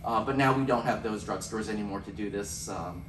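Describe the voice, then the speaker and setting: A man's voice: lowish voice, brisk. Joking man on a panel, roomy and distant. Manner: joking, animated storytelling. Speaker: photographer on a panel. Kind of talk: panel discussion. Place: event room at a photography center. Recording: roomy room mic from far off, background noise.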